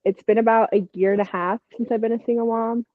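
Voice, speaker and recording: A woman's voice: on the high side, fairly even intonation, fast talker, dry recording. Candid young woman on dull lo-fi audio. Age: 20s. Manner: candid, storytelling. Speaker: podcast co-host. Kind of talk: casual chat.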